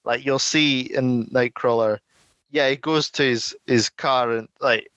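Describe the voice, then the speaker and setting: A man's voice: mid-range voice, steady tone, measured pace, a bit bright, dry recording, lo-fi sound. A man co-hosting a podcast, chatting casually and explaining things at length with frequent laughs. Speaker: podcast co-host. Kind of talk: casual chat.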